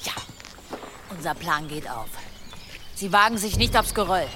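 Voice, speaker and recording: A woman's voice: on the low side, lively intonation, measured pace, a crowd in the background. An actress playing an anxious pagan village woman in an audio drama, urgent and pleading. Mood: alert, hushed.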